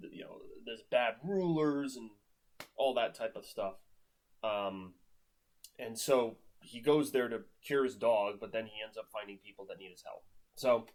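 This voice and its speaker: Guy doing a casual book review, normal pitch, rambles a lot, punches certain words.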